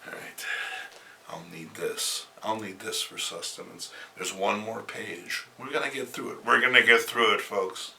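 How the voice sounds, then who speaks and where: A man's voice: fairly low pitch, measured pace, vocal fry, bright, forceful tone, distant mic. A man hosting a dramatic comic book reading, theatrical, with wry, sardonic asides.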